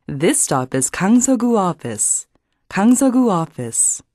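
A woman reading formal scripted subway announcements in a measured, deliberate manner. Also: mid-range voice, sing-song, darkish tone, no room sound, studio quality.

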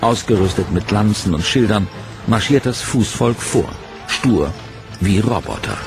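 A man narrating a history documentary in a measured, dramatic scripted delivery. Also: lowish voice. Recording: voice-over over loud music. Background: music bed.

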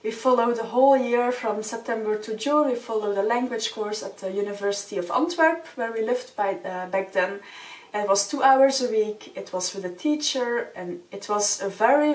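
A young woman whose first language is Dutch, giving earnest, friendly advice straight to the camera in a small wood-panelled room. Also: mid-range voice. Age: young adult. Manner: friendly, earnest, gestures with open hands. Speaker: vlogger. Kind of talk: casual advice talk to camera. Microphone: camera a few feet away. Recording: roomy sound from a distant mic.

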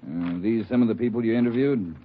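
An actor as a firm police chief, giving orders in steady, direct sentences. His voice is fairly low and sounds thin and phone-like, as in an old radio broadcast. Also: fast talker, a little hiss or hum, close to an everyday voice. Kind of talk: 1940s radio drama acting. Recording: tinny 1949 radio broadcast.

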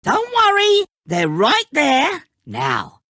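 A man's voice: very high-pitched, huge pitch swings, takes it slowly, bright, forceful tone, no room sound, clean studio sound, full character voice. An actor playing a giddy, taunting, all-powerful snowman trickster in a video game, with a gleeful, mocking, hammy delivery. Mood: playful taunting.

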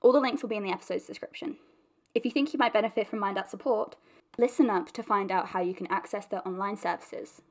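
A woman's voice: medium pitch, quick pace. A woman podcast announcer reading scripted credits and announcements.

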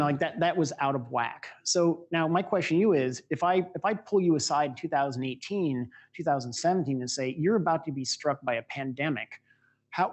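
A man moderating a panel on an auditorium stage, quick and jokey as he prompts the speakers. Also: a little high, husky.

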